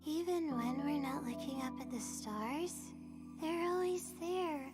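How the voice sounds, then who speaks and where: High-voiced, dreamy student girl. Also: measured pace. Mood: dreamy, wistful. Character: sleepy, overworked teenage girl student, dreamy stargazer. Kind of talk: video game character trailer. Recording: game trailer audio under loud music.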